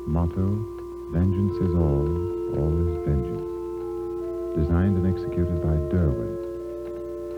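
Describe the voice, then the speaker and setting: A solemn, very deep male voice intones a cursed family legend slowly and gravely. It comes through a thin, phone-like old radio sound. Loud music plays under it.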